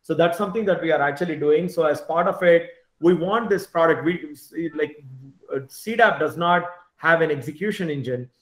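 A man's voice: fairly high pitch, moderate pace. A man giving an informal slide presentation on a video call, often restarting his words and adding filler asides. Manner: repeats and restarts words, frequent "you know" asides. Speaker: tech team lead presenting at an online meetup. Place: bright room at home or small office, indoors. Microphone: computer mic on a video call. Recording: echoey room on video call.

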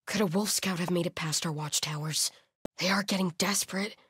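A woman's voice: lowish voice, steady tone, brisk, breathy edge, no room sound, studio quality. An actress playing a grim, devout female cult soldier in a video game, with a stern, zealous manner. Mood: grim, hushed. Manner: solemn, stern, swinging from hushed muttering to shouted alarms. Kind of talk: video game combat voice lines.

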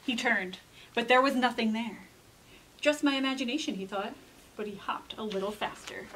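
High-voiced American woman, expressive storyteller, background noise. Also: rough recording. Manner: expressive, enunciated storytelling with dramatic pauses. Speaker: children's librarian. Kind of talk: presenting and dramatic picture-book reading. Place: indoor library room.

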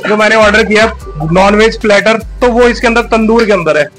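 A man's voice: sits high, fairly even intonation, a little fast, fairly bright tone. A man hosting a casual food vlog on location in a restaurant, talking in an enthusiastic, repetitive way. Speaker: food vlogger, host. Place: inside a busy restaurant and its kitchen. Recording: music under location speech. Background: music.

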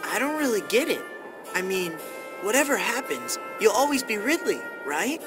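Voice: medium pitch, big pitch swings, normal speed, music in the background, lightly acted. An actor playing a brash, puzzled teenage boy knight in a video game, blunt and slangy. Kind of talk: video game cutscene acting.

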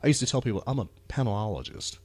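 Male podcast host, enthusiastic and off-the-cuff, very expressive pitch swings, close dry sound. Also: fast talker, no room sound. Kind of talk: casual rambling chat about pop culture.

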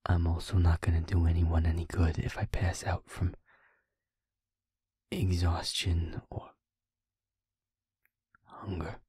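A husky, very breathy young werewolf boyfriend, acted by a man, low and close in an intimate audio drama. He talks slowly and haltingly, often half-whispering, with wounded determination and tenderness. The sound is dry and dark. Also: no room sound, heavily acted. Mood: tired, tender. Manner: halting, slow, heavy pauses. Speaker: audio roleplay voice actor.

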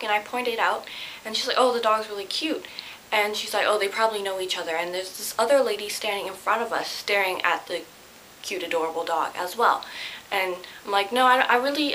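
Bright-voiced young woman telling a story, background hiss. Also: medium pitch, low-quality recording. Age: young adult. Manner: animated, flustered, emphatic. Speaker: vlogger. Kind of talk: casual storytelling to camera. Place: small bedroom, indoors. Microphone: camera a short distance away. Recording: hissy home camera recording.

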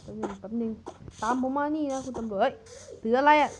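A woman narrating a game cartoon in a playful way, switching quickly between the characters' lines. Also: fairly high pitch.

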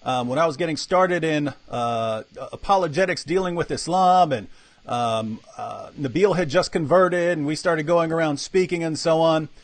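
A man's voice: fairly high pitch, normal speed, no echo. A man in his forties hosting a live video-call interview from a room with bookshelves, talking energetically with many fillers and restarts. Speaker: host. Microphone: webcam video call.

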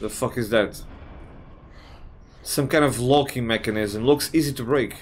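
Slightly raspy man doing gameplay commentary. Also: medium pitch, measured pace, slight background noise. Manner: swears often, thinks aloud, reacts with surprise. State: slightly raspy from just waking up. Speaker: gamer playing a horror game. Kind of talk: casual let's-play commentary.

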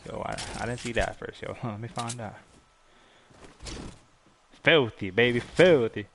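A young man streaming a game from a room, giving excited, slangy commentary and thanking viewers for donations while his voice goes hoarse. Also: mid-range voice, unhurried. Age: young adult. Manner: hype-driven, slangy, thanking donors between plays. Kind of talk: live gameplay commentary with chat shout-outs. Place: indoor gaming room.

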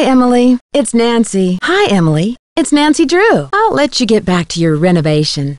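A woman's voice: mid-range voice, darkish tone, dry recording, close to an everyday voice. An actress playing a curious, polite young female amateur detective in a video game, friendly, inquisitive and articulate.

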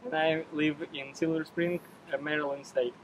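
Flat, higher-pitched young Ukrainian-accented man. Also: normal speed, noisy background. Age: 20s. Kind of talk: on-camera testimonial.

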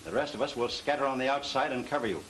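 An actor playing a suspicious, commanding outlaw boss in an old western film, giving terse, businesslike orders. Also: male voice, medium pitch, fast, fairly bright tone, close to an everyday voice, rough recording. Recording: hissy old film soundtrack.

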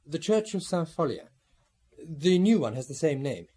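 A man's voice: fairly high pitch, big pitch swings, moderate pace, warm tone, slightly rough. A man acting as a genteel, evasive former art student of about thirty in a radio drama, polite, apologetic and guarded. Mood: sociable, uneasy.

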